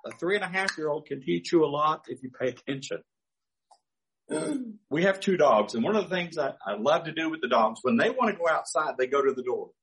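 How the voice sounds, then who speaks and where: Older male pastor, folksy storyteller, a bit high-pitched, animated delivery. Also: brisk, low-quality recording. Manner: folksy storytelling, chuckling asides. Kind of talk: sermon with personal anecdotes.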